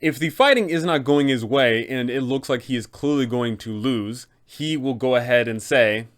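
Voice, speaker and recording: A man's voice: mid-range voice, moderate pace. The kind of man heard hosting an online tabletop roleplaying guide, reading scripted advice to game masters in a mid-range voice.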